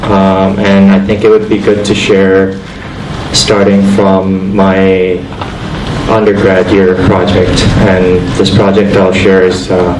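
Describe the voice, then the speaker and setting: Young guy from Indonesia, low voice, humble lecturer, halting delivery; distant mic in an echoey hall. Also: completely flat delivery. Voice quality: halting, many fillers and restarts. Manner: self-deprecating and modest. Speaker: guest lecturer. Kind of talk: guest lecture to architecture students. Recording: echoey hall from far off, noisy.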